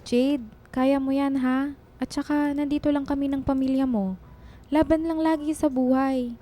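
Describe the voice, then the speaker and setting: A woman playing a caring, supportive young friend in a radio drama, speaking in a soft, reassuring way. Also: sits high, steady tone, moderate pace, natural voice. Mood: encouraging, concerned.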